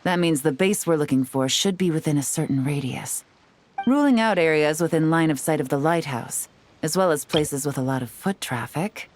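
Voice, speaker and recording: A woman's voice: low-pitched, normal speed, slight background noise, close to an everyday voice. An actress playing a shrewd, composed female investigator in a video game, speaking in an articulate, methodical, confident way. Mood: measured, focused. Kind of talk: scripted game dialogue.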